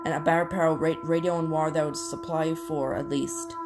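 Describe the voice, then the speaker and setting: Woman, low voice, emergency alert announcer, formal and measured delivery, scripted, clear music behind. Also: fairly even intonation.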